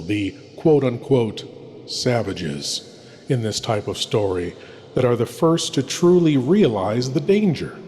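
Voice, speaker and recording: A man hosting a horror show in a theatrical, ominous manner, with deliberate dramatic pauses. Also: mid-range voice, darkish tone, a little hiss or hum. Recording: long hall-like reverb. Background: music.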